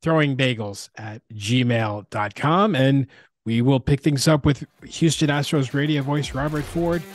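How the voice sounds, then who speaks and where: A man's voice: mid-range voice, unhurried. A man hosting a podcast, wrapping up the episode in an upbeat, promotional way. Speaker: podcast host. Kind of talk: podcast outro.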